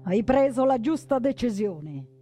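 A woman voicing a smug male fascist militia consul, raspy, loud and commanding, in a theatre monologue. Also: a little high, expressive, a little slow, faint music behind, voice pushed up. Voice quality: raspy, forced.

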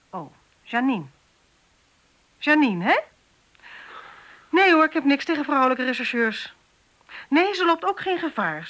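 High, brisk, sardonic police detective. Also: female voice, a bit bright, heavily acted. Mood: exasperated. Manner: brisk, sarcastic, impatient. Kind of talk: Dutch radio drama acting.

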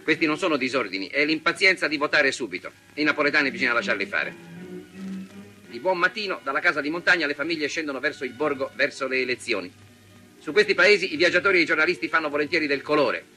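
A man's voice: fairly high pitch, expressive, fast talker, sharp tone, soft background music. A man narrating a 1940s Italian newsreel in a formal, declamatory, scripted style on a vintage soundtrack.

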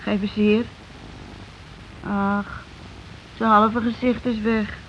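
An actress playing a simple, meek working-class wife in a radio play, hesitant and stammering, repeating herself in unpolished everyday speech. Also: female voice, mid-range voice, level tone, slow pace, lightly acted. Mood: wistful, subdued. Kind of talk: naturalistic radio play acting. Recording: dull, noisy old radio recording.

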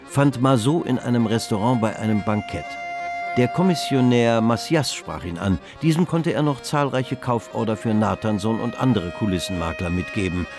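An actor narrating a period novel as a composed storyteller in a radio drama. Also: male voice, on the low side, expressive, normal speed. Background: music.

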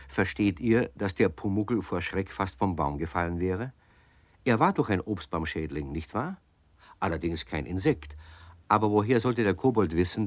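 A male narrator tells a children's radio story, turning to young listeners with questions. His voice sits low. The recording is dry and close. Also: dry recording. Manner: warm, explanatory, asks the listeners questions.

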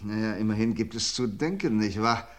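An actor playing a calm, shrewd detective in a vintage radio crime drama, speaking in a dry, deliberate way. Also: male voice, lowish voice, natural voice. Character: calm, shrewd male detective named Derek.

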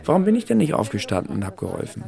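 An actor playing an irritable, self-critical misanthrope in naturalistic radio drama, arguing bluntly and coarsely in everyday conversation. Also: male voice, lowish voice, huge pitch swings, very fast, gravelly, dark tone, heavily acted. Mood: bleak, self-critical. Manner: argumentative, blunt, coarse-mouthed.

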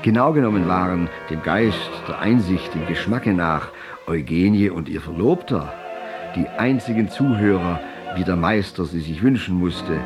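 Male narrator for literary radio drama: low register, formal and elevated delivery, music clearly behind. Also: animated, normal speed.

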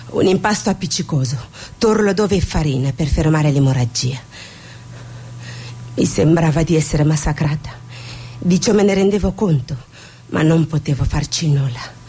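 A woman's voice: low-pitched, warm tone, breathy edge. An actress playing a Somali woman scarred by suffering, defiant and mocking, in a stage drama. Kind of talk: stage monologue and dramatic dialogue.

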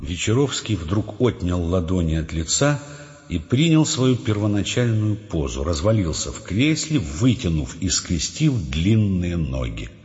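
A man's voice: low-pitched, very animated, unhurried, darkish tone, soft background music. A man playing the narrator of a novel in an audio drama, grave and observant, reading literary prose. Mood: grave, measured. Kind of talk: scripted literary narration in radio play. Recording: dull sound in a long reverb.

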